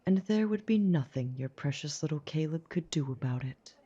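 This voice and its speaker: A woman playing a scornful, haughty younger werewolf sister in an audio drama, husky and sneering, slightly muffled recording. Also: low voice, expressive, moderate pace, noisy background. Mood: contemptuous. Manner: sneering, contemptuous. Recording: slightly dull, muffled microphone.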